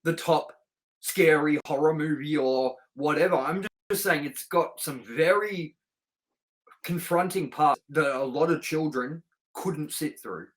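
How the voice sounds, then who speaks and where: A middle-aged man with a voice pitched a little high talks as co-host of a review show. He is casual and sweary, and he states his opinions with firm emphasis. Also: unhurried, poor audio.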